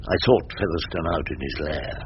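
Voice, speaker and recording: An actor playing a sardonic older English barrister in a radio drama, with a dry, ironic, theatrical way of talking. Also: male voice, extremely low pitch, moderate pace, lo-fi sound, slight background noise. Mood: wry narration. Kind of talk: radio drama acting and narration.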